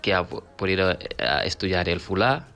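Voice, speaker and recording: A man with a Fula accent, a native Fula speaker, talking as a radio interview guest, halting with frequent restarts and repeated words. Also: low-pitched, a bit bright. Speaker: guest, author of a Fula learning book.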